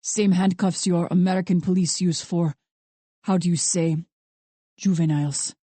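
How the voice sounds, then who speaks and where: Woman voicing a low, menacing Russian male captor. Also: fairly even intonation, mellow, slightly rough, dry recording. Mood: amused menace. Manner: conversational, deliberate, coldly amused.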